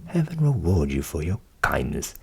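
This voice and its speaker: A man's voice: very deep voice, wildly swooping pitch, normal speed, vocal fry, husky, voice pushed down, exaggerated voice. A man voicing a naive, cheerful, trusting young man in a storybook, with earnest exclamations. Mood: pleased.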